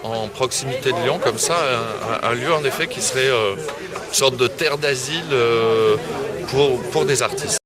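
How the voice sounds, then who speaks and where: Man, middle pitch, stumbling and repeating words, loud crowd around. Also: normal speed. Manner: searching for words, repeats himself. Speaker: interviewee. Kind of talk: street interview answers. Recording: loud crowd noise behind.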